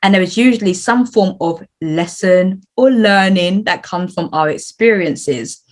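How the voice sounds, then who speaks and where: A woman hosts a podcast interview, her voice pitched on the low side. She asks her questions gently and keeps encouraging her guest with quick affirmations. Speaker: podcast host. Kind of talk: interview hosting.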